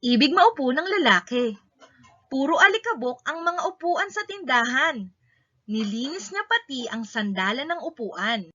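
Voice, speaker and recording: A Filipino woman reads a story aloud for children. Her voice is high and very bright, delivering short, emphatic sentences. The recording sounds somewhat dull and lo-fi. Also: lively intonation, moderate pace.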